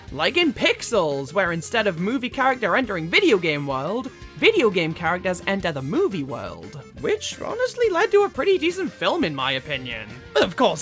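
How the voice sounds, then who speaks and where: A woman's voice: mid-range voice, fairly bright tone. A woman narrating a scripted video essay as host, with a wry, casual manner. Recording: music playing behind. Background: background music.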